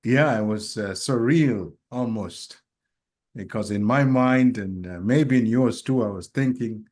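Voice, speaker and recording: A man who grew up in Kenya, co-hosting a casual podcast and talking in a reflective, hesitant way full of pauses and fillers. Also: medium pitch, a little mellow. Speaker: podcast co-host.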